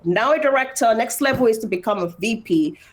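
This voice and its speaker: A woman's voice: a little high, lively intonation, a little fast. A woman with a Nigerian accent speaking as an interview guest, personal and motivational, repeating phrases emphatically for stress. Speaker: interview guest, engineer and foundation founder.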